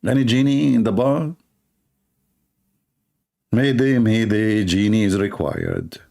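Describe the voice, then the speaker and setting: A man's voice: fairly low pitch, big pitch swings, slow pace, gravelly, warm tone. A man hosting a call-in debate show, talking in a sarcastic, rambling way. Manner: sarcastic, rambling, laughs at own jokes. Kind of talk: mocking call-in commentary.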